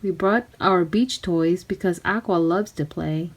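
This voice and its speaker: A woman narrating a children's storybook aloud in expressive, clearly separated phrases. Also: lowish voice, unhurried. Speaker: storybook reader.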